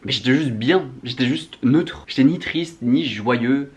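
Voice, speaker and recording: A man's voice: mid-range voice, unhurried, lo-fi sound. A young man with a French accent from France, chatting candidly to viewers in a slangy, self-mocking, confessional vlog style. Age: young adult. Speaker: vlogger.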